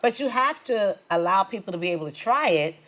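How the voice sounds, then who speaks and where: A woman's voice: medium pitch, expressive, a little fast, fairly bright tone. A woman speaks as the host of a small talk show. She is chatty and encouraging, with a conversational way of asking questions and affirming what she hears. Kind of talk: conversational small business interview. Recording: small studio audience present.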